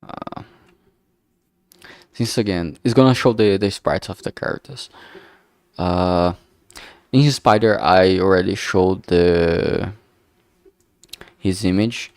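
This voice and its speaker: A man with a thick Brazilian Portuguese accent talks slowly and unhurriedly, his voice sitting fairly low. He rambles as he thinks aloud, full of fillers and restarts, recorded close and dry with no room sound. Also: a little mellow, smooth, no echo. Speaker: game developer streamer. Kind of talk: casual think-aloud stream commentary.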